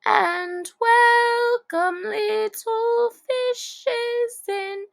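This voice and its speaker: A woman's voice: very high-pitched, steady tone, drawn-out words, creaky, no room sound, clean studio sound, pitched above the performer's own voice, heavily acted. A woman voicing a distressed young girl in a children's storybook, with an exaggerated, plaintive delivery. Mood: hesitant reciting. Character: Alice, distressed young girl, dramatic and tearful. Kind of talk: children's storybook character acting.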